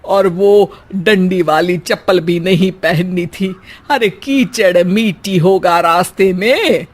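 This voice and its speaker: A woman voicing a patient, gently chiding, middle-aged village mother-in-law in a comic cartoon story. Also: fairly low pitch, takes it slowly. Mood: patient, indulgent. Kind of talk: Hindi cartoon story acting.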